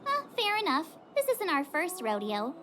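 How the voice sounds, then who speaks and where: A woman voicing a spirited, cheeky teenage girl adventurer in a video game, chatty, wry and excitable. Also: very high voice, moderate pace, a little hiss or hum, heavily acted. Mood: wry, resigned. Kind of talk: video game cutscene voice acting.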